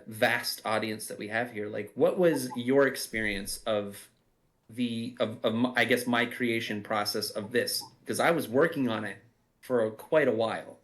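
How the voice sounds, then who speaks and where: A man leading a casual panel discussion, talking haltingly and often restarting his sentences. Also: on the low side, fairly bright tone, a little hiss or hum.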